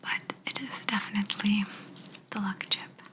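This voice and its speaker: A woman voicing a chatty, smug alien examiner in an ASMR roleplay, in a soft breathy whisper, unhurried and a little condescending. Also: mid-range voice, steady tone, lightly acted. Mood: reassuring, explanatory. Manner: unhurried, fussy, mildly condescending asides. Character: smug, chatty female alien examiner, clinical yet reassuring. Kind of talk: ASMR personal-attention sci-fi roleplay.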